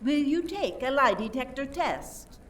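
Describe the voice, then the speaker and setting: An actress playing a suspicious detective with a deliberate, theatrical, probing manner in a radio play. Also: female voice, high-pitched, lively intonation, a bit bright. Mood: probing, skeptical. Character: suspicious French detective methodically questioning suspects. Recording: distant microphone, faint background noise.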